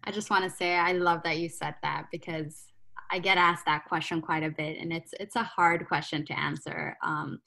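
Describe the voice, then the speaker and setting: A woman's voice: low voice, fairly even intonation, normal speed, vocal fry, sharp tone. A woman from Ethiopia hosting a podcast, interviewing in a conversational way. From Ethiopia, moved around.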